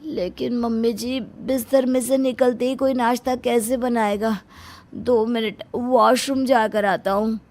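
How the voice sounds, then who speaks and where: A woman's voice: on the high side, little pitch movement, measured pace, a little mellow, soft background music. A woman voicing a lazy, whiny young man, a complaining son-in-law in a cartoon. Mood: sleepy, grumbling.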